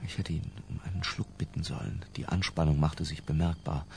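An obsessive, brooding middle-aged narrator in a radio drama. The voice is very low and gravelly, deliberate and literary, sometimes hushed. Faint noise and rumble sit behind it. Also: male voice, fairly even intonation. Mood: tense, brooding. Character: obsessive, tense middle-aged man confessing in retrospect.

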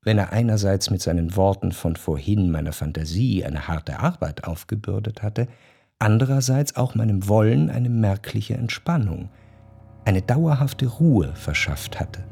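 An actor playing an introspective, yearning gentleman who narrates a literary radio drama in a measured, reflective way. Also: on the low side, lively intonation, gravelly, husky, heavily acted. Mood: musing.

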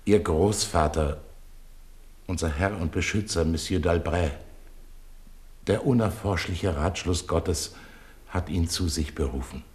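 A formal, devoted royal tutor. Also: male voice, low voice, a little slow, long pauses, a little mellow. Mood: solemn. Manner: respectful, formal. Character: loyal devoted male tutor to a young prince. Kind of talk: 1970s radio drama acting.

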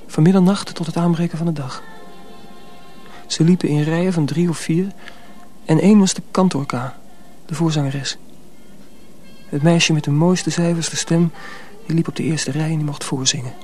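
An actor playing a naive, earnest teenage apprentice in a Dutch radio drama, with an eager, youthful manner. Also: male voice, fairly high pitch, fairly even intonation, normal speed, a little mellow, soft background music, natural voice. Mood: wistful, reminiscing.

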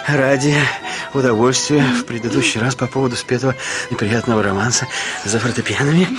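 An actor playing an obsequious, insistent middle-aged suitor in a radio drama, pleading and fussy. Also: male voice, mid-range voice, a little fast, music in the background, close to an everyday voice. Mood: pleading, fawning. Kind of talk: theatrical Russian radio drama.

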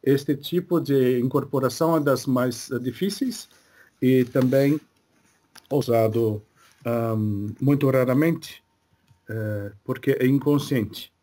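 A man speaking as a spirit guide in a religious session, slow and hesitant, often pausing to search for his words. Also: medium pitch, mellow. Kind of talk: slow halting spiritual talk.

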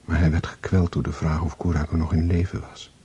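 A man narrating a literary radio drama in a measured storytelling manner. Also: extremely low pitch, level tone, mellow, breathy edge, highs cut off.

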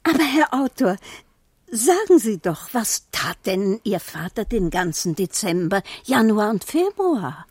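A woman's voice: mid-range voice, big pitch swings, unhurried, darkish tone, breathy edge, no echo. An actress playing an inquisitive lady who questions an author in a period radio play.

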